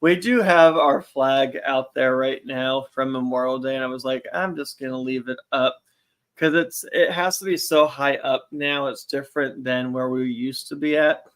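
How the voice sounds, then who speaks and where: A man's voice: mid-range voice, steady tone, normal speed, fairly bright tone. A man from New York co-hosting a casual live show, playful and teasing. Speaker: co-host.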